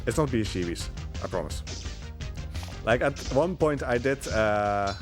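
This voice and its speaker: A man's voice: medium pitch, lively intonation, measured pace, over music. A man giving casual live commentary on his own speedrun, rambling with hesitant asides as he plays. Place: live gaming marathon event, game audio behind.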